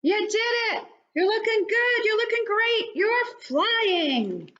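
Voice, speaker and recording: A woman voicing an encouraging, excited grown bug in a children's picture book, exclaiming and coaxing proudly. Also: extremely high pitch, slow pace, dull audio, pitched above the performer's own voice, full character voice. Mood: proud, delighted.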